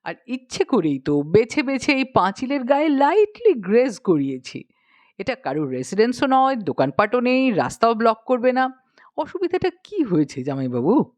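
A woman's voice: a little high, big pitch swings, normal speed, darkish tone, no echo. Woman playing a smug, breezy uncle. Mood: nonchalant, smug. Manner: smug, casual, mixes English into speech. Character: breezy, unflappable young uncle, self-assured and logical.

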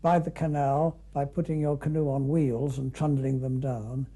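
A man's voice: mid-range voice, flat delivery, normal speed, dark tone. An older man with a British accent reminiscing in an unscripted, conversational film commentary, with clipped musing asides. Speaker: canoeist recalling an expedition.

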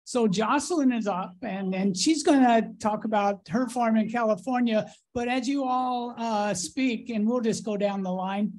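A man moderating a conference panel, speaking with many halting restarts and repeated words. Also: extremely high pitch, darkish tone.

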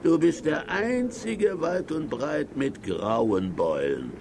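An actor playing a fawning, soft-hearted villain's sidekick who wheedles in a children's audio play. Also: male voice, a little high, big pitch swings, slow, creaky, noisy background, heavily acted. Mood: coaxing. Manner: wheedling, servile.

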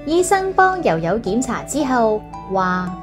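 Woman, Hong Kong Cantonese accent, expressive picture-book narration for kids, very varied intonation, light music. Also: fairly high pitch, a little slow. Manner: expressive, addressing young children. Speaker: children's storyteller. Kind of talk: read-aloud children's story narration.

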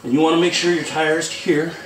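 A man's voice: a little high, fairly even intonation, fairly quick. Joking man in his forties, echoey garage. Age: 40s. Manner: joking, folksy, chatty while working. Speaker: homestead channel host. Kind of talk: casual how-to demonstration. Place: garage workshop, indoors. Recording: echoey garage, distant mic, noisy.

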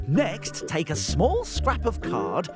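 A man presents a children's craft show with hugely swooping, high-pitched intonation. He speaks slowly and clearly in an excitable, exaggerated manner for young viewers. Music plays prominently behind him.

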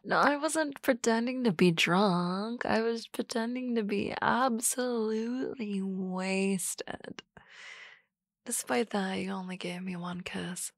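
A woman's voice: medium pitch, slow pace, long pauses, dry recording, clean studio sound. Woman doing a teasing whispery office boss. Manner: teasing, playful, soft whispers. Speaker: ASMR roleplay performer. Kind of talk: ASMR roleplay, soft close whispering.

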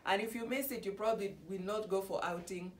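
A middle-aged woman from Kenya giving a soft-spoken, halting devotional introduction before singing a hymn. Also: mid-range voice, steady tone, slight background noise. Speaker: gospel singer. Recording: voice a bit far from the mic.

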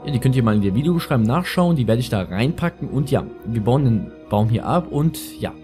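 A man's voice: mid-range voice, animated, a little fast, warm tone. A young man with a lisp giving casual, rambling, slangy gameplay commentary as a let's player. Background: music.

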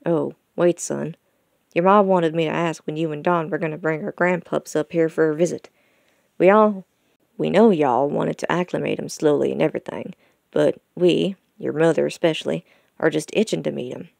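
An older wolf father from farm country, played by a woman narrator. The voice is low and mellow with gravelly creak. The talk is folksy and fatherly, as in an audiobook. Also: normal speed, no echo, clean studio sound. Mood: eager, affectionate. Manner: folksy rural phrasing with y'all and ain't-style grammar. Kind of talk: audiobook character dialogue.

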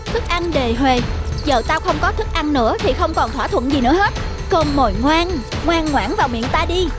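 A woman's voice: high voice, lively intonation, moderate pace, music in the background. A woman voicing a greedy, treacherous cat who taunts and gloats in a cartoon fable. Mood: gloating, menacing. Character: greedy, treacherous, menacing male house cat. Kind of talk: cartoon fable villain acting.

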